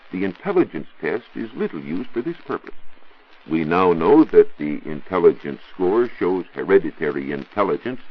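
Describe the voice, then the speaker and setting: American man, 1940s radio science explainer. Husky, animated delivery, didactic and formal, dull vintage recording. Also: deep voice. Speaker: science reporter on a radio show. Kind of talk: scripted 1940s educational radio talk. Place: radio studio.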